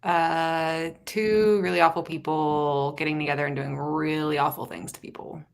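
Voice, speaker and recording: Female stream guest. Lowish voice with marked creak, relaxed informal conversation. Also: moderate pace. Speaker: guest on a book livestream. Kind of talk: casual chat.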